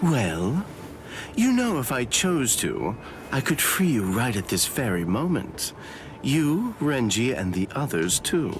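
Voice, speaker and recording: A man's voice: medium pitch, huge pitch swings. A man voicing a sly, taunting, falsely friendly swordsman captain in an anime game dub. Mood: silky taunting. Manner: teasing, drawn-out, falsely polite. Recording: prominent music behind voice.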